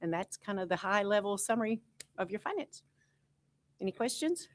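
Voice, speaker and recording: A woman's voice: mid-range voice, expressive, moderate pace. A woman consultant presenting a tax analysis at a school board meeting, explaining in a conversational way with frequent fillers.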